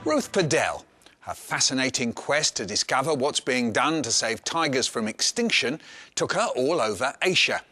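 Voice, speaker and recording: A man's voice: mid-range voice, expressive, normal speed, sharp tone. A man presenting a book programme and putting questions to his panel by name. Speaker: programme host.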